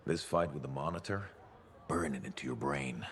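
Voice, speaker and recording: A man's voice: very deep voice, moderate pace, slight background noise. A man playing a boastful older veteran fighter in a video game, telling war stories with relish. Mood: proud, boastful. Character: boastful gruff old veteran freelancer man. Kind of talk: video game cutscene acting.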